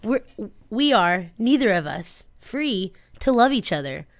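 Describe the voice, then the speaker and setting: A woman's voice: mid-range voice, sing-song, measured pace, dry recording, clean studio sound. A woman playing a sensible, restrained woman resisting love in an amateur radio play, read plainly from a script. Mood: firm, restrained.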